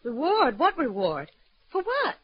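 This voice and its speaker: Naive, anxious small-town wife, high voice, 1940s radio drama, muffled vintage sound. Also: female voice, huge pitch swings, unhurried, exaggerated voice. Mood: bewildered.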